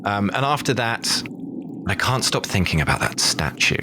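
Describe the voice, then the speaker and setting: A fairly low-voiced man who talks in an animated, swooping, quick way at a tabletop game. Steady background noise can be heard clearly behind him. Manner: animated, quick, laughs and swears. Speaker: player. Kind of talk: casual tabletop play.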